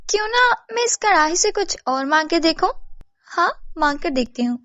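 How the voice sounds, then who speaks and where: A woman's voice: very high-pitched, sing-song, normal speed, a bit bright, dry recording, dull audio, voice pushed up, full character voice. A woman voicing a sly, greedy, envious young village woman in a storybook tale, exclaiming and gloating melodramatically. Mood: greedy, delighted.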